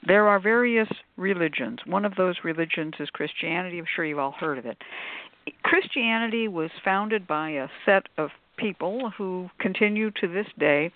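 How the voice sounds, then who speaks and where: A woman's voice: fairly low pitch, moderate pace. A woman hosting a talk show, chatting and explaining to listeners in a conversational way with playful asides. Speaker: radio show host. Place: live call-in audio show. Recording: narrow phone-like line.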